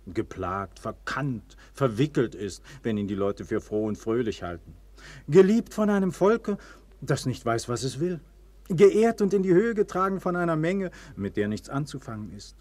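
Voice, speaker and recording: A man's voice: mid-range voice, big pitch swings, moderate pace, no room sound, close to an everyday voice. Theatrical nobleman, swooping declamatory voice played by a man. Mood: impassioned, weary. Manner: theatrical, declamatory, swelling and falling to hushed tenderness. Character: ardent nobleman, proud and weary, tender lover. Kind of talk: classic German radio play acting.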